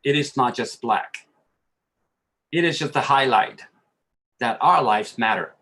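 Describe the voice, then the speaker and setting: Slow-speaking man. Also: medium pitch, pushed and bright. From Dominican Republic. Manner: halting, searching for words. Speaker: panelist. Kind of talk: earnest roundtable statement.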